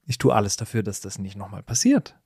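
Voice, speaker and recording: A man's voice: fairly low pitch, sing-song, fast, vocal fry, darkish tone, breathy edge, no echo. Casual male podcast co-host, dry sound.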